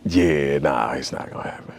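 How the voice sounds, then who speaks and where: A man's voice: a bass voice, moderate pace, gravelly, a little hiss or hum. A young man, a tattoo artist, talking as a podcast guest in a loose, slangy, storytelling way. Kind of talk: casual conversation.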